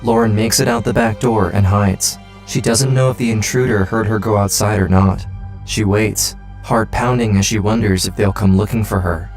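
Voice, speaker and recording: Man, low voice, dramatic true crime narrator, scripted delivery, music underneath. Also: steady tone, normal speed. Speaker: documentary narrator.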